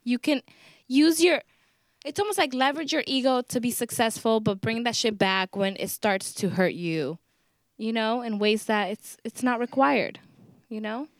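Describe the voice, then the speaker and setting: Soft-voiced young woman, blunt and teasing. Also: on the high side, moderate pace, dry recording. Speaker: podcast co-host. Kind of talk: casual chat. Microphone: studio mic.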